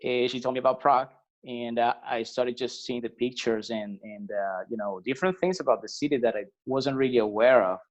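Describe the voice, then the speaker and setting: A man from Venezuela chats as a podcast guest, easygoing and unhurried, often restarting his sentences and filling pauses. His voice sits in an ordinary middle register. The sound is a bit dull and lo-fi. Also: medium pitch. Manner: relaxed, hesitant with many fillers and restarts. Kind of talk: casual conversational interview.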